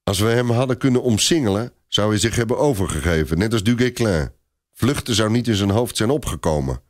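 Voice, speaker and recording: A man's voice: on the low side, lively intonation, brisk, voice pushed up, lightly acted. An indignant, loyal knight from a medieval tale, as a male narrator plays him. He exclaims emphatically in a raised voice.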